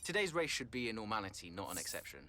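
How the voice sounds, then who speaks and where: A man's voice: lowish voice, sing-song, very fast, bright, forceful tone. An actor playing a proud, frustrated male Formula One driver in a video game, with a guarded, terse manner. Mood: guarded, measured. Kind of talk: dramatic game cutscene dialogue. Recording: clear background vehicle noise.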